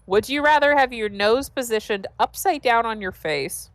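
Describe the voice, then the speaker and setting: A woman's voice: fairly high pitch, expressive, unhurried, no echo. Giggly, stuffy-nosed woman streamer. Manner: giggly, chatty, trips over restarts. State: congested, stuffy nose. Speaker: let's play streamer. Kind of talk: casual gaming chat.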